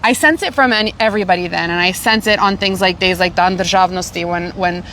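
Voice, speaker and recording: A woman's voice: lowish voice, brisk, pushed and bright, a little hiss or hum, poor audio. A middle-aged woman from Canada, hosting a casual podcast chat. She talks animatedly and repeats words while she searches for them. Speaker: podcast host.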